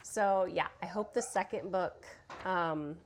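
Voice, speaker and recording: A woman's voice: on the low side, slow pace, a little hiss or hum. Chatty sniffly woman with a cold. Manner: chatty, filler-heavy, "like" and "um". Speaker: book vlogger. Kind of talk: casual chat while crafting. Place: home room with children nearby.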